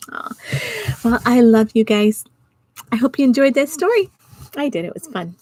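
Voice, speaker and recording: A middle-aged or older woman reading a storybook to children, expressive and giggly, pausing to chat with her listeners. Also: fairly high pitch, no echo. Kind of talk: storytime read-aloud for children. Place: small indoor home office.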